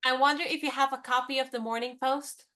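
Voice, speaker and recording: A young woman of Edwardian London in a radio play. Her voice is high, and her manner is cool and composed, with an inquisitive edge. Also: steady tone, fast talker, sharp tone. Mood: polite.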